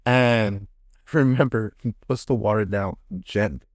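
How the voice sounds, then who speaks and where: A man hosting a casual podcast, telling stories in an animated, slangy way. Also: fairly low pitch, unhurried, no echo, clean studio sound. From Dominican background. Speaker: podcast host. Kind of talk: casual chat, storytelling.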